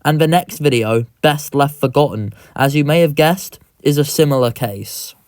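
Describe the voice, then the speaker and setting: A man's voice: on the high side, moderate pace, darkish tone, no room sound. A man reading scripted narration as the narrator of a horror video, recorded close to the mic.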